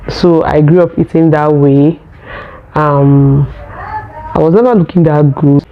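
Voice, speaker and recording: A Nigerian woman who speaks English as a second language, telling her own story in a vlog in an earnest, unhurried way. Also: sits low, narrow, tinny audio. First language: Nigerian local language. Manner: earnest, unhurried, searching for words. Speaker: vlogger.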